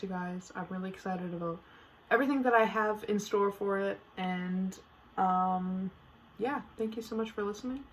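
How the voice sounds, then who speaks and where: A woman's voice: fairly low pitch, slight background noise, low-quality recording. A teenage girl hosting her own podcast, chatting casually and playfully dramatic, with lots of fillers. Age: teens. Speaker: podcast host. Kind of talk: casual solo podcast chat.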